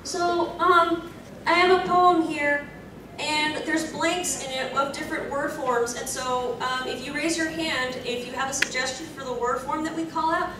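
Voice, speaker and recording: A woman's voice: high voice, fairly even intonation, normal speed, close to an everyday voice. A woman voicing a timid, anxious, gloomy young anime character at a cosplay panel, hesitant and fretful. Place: convention panel room on handheld PA mic, filmed from afar. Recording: echoey room, far mic, noisy.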